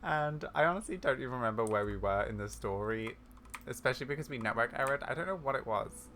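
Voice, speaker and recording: Bright, forceful man doing casual gameplay commentary. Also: medium pitch, lively intonation, fast, a little hiss or hum. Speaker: gaming video creator.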